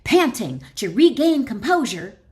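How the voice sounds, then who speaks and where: A woman speaking as an author and wellness teacher, addressing the listener directly in emphatic, deliberate phrases as she instructs and reads from her book. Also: on the high side, sing-song, darkish tone. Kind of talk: instructional talk mixed with expressive reading aloud from own book.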